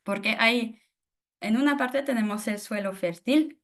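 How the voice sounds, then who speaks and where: A young woman presenting a webinar in a language that is not her first, halting with many fillers and restarts. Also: fairly high pitch, fairly bright tone. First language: not Spanish. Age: young adult. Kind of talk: webinar talk on soil.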